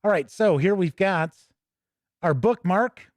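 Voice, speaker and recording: Higher-voiced American man, casual show host. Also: lively intonation, slow pace, no room sound. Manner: rambling, thinking aloud. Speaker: tabletop gaming show host. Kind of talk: casual off-the-cuff game book review.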